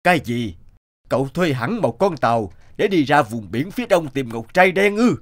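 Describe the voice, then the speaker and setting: Grave, knowing older connoisseur. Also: male voice, fairly high pitch, big pitch swings. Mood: surprised. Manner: measured, admonishing, explanatory. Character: stout middle-aged connoisseur, grave, knowing and cautionary. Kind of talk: animated fable voice acting.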